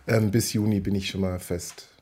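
Husky man with a head cold. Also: deep voice, fairly even intonation, moderate pace, mellow. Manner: upbeat, chatty. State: has a cold. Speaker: podcast guest, choreographer. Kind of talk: casual chat.

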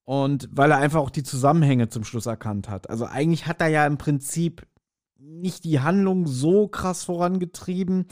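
A man's voice: a little high, moderate pace, darkish tone. A man chatting casually as a podcast co-host reviewing an audio drama, colloquial in his wording.

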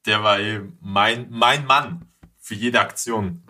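A man's voice: fairly low pitch, slow pace, bright, forceful tone. A man chatting casually, enthusiastic and slangy in the way he talks. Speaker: podcast guest or co-host. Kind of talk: casual chat about childhood cartoons.

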